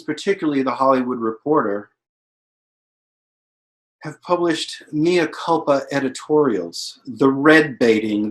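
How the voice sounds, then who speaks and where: Man acting a thoughtful, learned, mid-pitched storyteller. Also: natural voice. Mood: grave. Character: thoughtful, learned narrator reflecting on the Hollywood blacklist. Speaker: stage actor in a play reading. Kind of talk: theatrical monologue in a staged reading.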